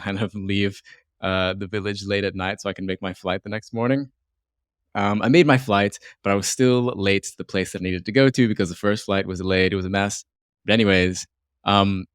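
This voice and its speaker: Male podcast host, slightly low voice, dry close sound, informal and rambling. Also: clean tone, no echo. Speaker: interviewer and host. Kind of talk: casual conversational interview.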